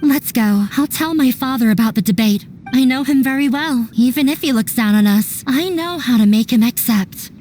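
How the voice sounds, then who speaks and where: A high, young female voice acted by a woman for an anime game runaway who carries old hurt. She confides earnestly and in measured phrases, with faint music underneath. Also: a little mellow, lightly acted. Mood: resolved. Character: wounded, bitter young runaway scholar's daughter. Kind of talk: anime game dub acting.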